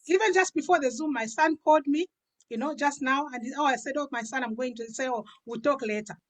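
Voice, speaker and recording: High-voiced, emphatic woman in her fifties. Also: fast. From Africa. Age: 50s. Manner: emphatic, repeats phrases for stress. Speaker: pastor giving a health and business testimony. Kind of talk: personal testimony in a conversational talk.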